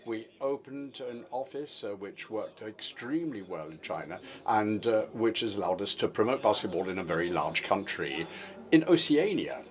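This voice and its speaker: A man with a low voice renders a formal report in a measured, steady way. He often pauses on fillers as he keeps pace with the speaker. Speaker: interpreter. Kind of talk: simultaneous interpreting of a report.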